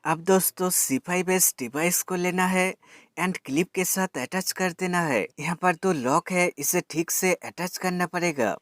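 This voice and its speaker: A man's voice: high voice, measured pace, a bit bright, faint music behind. A man presenting a tech product demonstration, explaining step by step in an instructional way and addressing viewers as friends. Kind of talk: product demonstration and how-to voice-over.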